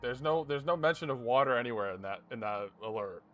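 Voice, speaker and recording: A man's voice: mid-range voice, fast talker, faint music behind. A young man streaming a game, cracking jokes and laughing at the dialogue. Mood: joking. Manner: joking, animated reactions, laughs a lot. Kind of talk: casual commentary while gaming.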